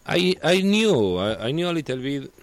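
A man from Spain with a Spanish accent, talking as a guest in casual chat, halting and restarting as he searches for words. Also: on the high side, sing-song, no echo.

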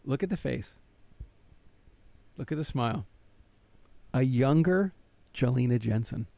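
A man's voice: medium pitch, lively intonation, measured pace, vocal fry, mellow. A man co-hosting a podcast, teasing others and introducing guests. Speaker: podcast co-host. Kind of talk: casual chat.

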